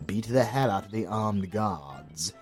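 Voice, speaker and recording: A man's voice: lowish voice, expressive, measured pace, darkish tone, faint music behind. A British man playing a retired supervillain in a comedy audio roleplay, dry, pompous and articulate, with sardonic exasperation. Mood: patient, explaining.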